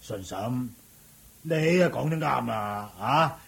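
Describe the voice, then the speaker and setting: A man playing a kindly old village uncle in a radio drama, a peacemaker with a halting, humming delivery. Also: medium pitch, lively intonation, creaky, a bit bright, breathy edge, full character voice. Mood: gently coaxing. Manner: halting, full of hums and sighs. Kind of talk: Cantonese radio drama acting.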